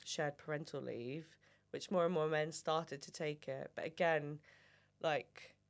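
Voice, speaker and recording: A woman's voice: low-pitched, fairly even intonation, unhurried. A woman, a guest on a casual podcast chat, explaining at length in a thoughtful way with many fillers. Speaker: guest, family member.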